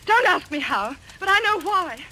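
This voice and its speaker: Agitated young lady in old radio mystery, high voice, really animated, accusing, bit of hiss. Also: moderate pace, exaggerated voice. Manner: insistent, accusatory, high-strung. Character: sharp, agitated young woman accusing a suspect. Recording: noisy old radio recording.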